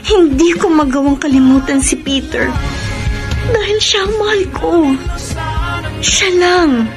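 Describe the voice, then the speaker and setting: Radio drama lead: high-voiced young woman, emotional, pining for a lost love, light music behind. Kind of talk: Filipino radio melodrama acting.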